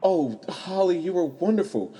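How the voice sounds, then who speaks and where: An actor playing a shy, lovestruck young stage actor, earnest and hesitant, in a radio play. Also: male voice, high-pitched, animated, normal speed, dark tone, big-room sound, slight background noise. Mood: earnest, smitten.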